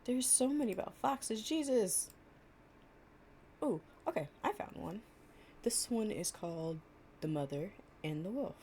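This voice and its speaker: A woman's voice: mid-range voice, lively intonation, measured pace, long pauses, dark tone, a little hiss or hum. A young woman playing an affectionate, chatty girlfriend in an improvised, intimate storytime roleplay, with a casual, slangy and playful way of talking. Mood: gentle reading. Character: affectionate, chatty girlfriend reading fables to her partner.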